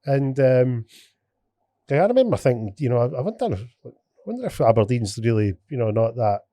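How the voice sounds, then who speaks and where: A man's voice: medium pitch, big pitch swings, brisk, mellow, no echo. A man with a Scottish accent hosting a casual podcast, rambling with lots of restarts, swearing and bantering. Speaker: podcast host.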